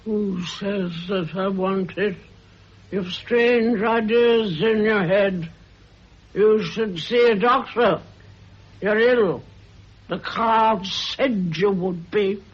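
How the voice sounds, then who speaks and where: An actress playing a spiteful, scheming older woman who speaks in a slow, husky, threatening drawl. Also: medium pitch. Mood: sly. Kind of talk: radio drama acting. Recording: dull old radio recording.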